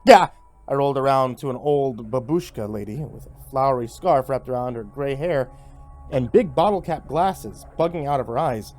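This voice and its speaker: A man giving a theatrical, expressive first-person narration of a horror story, acting out the dialogue. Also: medium pitch, moderate pace, faint music behind.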